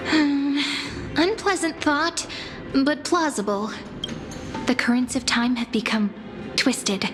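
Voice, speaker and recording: A thoughtful young heroine from a video game, speaking in a high, unhurried voice. She is confiding and wry, with a playful streak, over clearly audible music. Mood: pensive, wary. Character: thoughtful, playful young woman companion on a world-saving journey.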